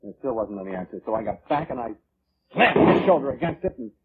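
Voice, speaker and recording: A man's voice: medium pitch, big pitch swings, fast talker, noisy background, lightly acted. A man acting in a 1940s radio drama, playing a violent, self-justifying man who defensively recounts his grudge. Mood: angry, agitated. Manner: defensive, blustering. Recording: muffled old radio broadcast, music underneath.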